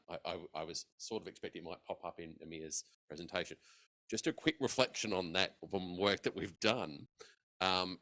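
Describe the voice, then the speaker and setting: A man's voice: deep voice, fairly bright tone. A man commenting from a conference audience, rambling with many fillers and restarts.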